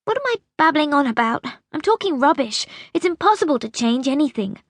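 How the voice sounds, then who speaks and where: Woman acting a high-pitched, demanding young girl. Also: big pitch swings, brisk, dry recording. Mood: bitter, pleading. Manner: insistent, accusing, emphatic. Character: bitter, demanding young girl pleading with her great-grandmother.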